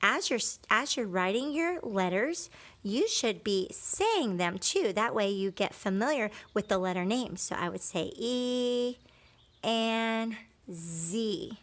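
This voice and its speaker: A woman's voice: medium pitch, lively intonation, slow pace, fairly bright tone. A woman leading an interactive read-aloud and lesson for young children, asking questions and answering them in an encouraging, reassuring way.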